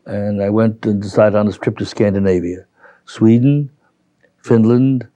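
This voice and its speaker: An older man with a Brooklyn accent reminiscing as an interviewee, halting and rambling as he recalls. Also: lowish voice, fairly even intonation, mellow, no room sound. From New York, United States. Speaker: interviewee, retiree. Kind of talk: reminiscing in an oral history interview.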